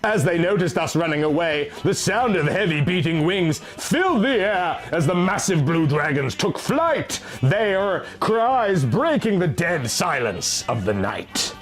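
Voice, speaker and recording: A man's voice: a little high, very animated, unhurried, hardly any pauses. A man reading a fantasy story aloud as dramatic, theatrical scripted narration. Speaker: audiobook reader.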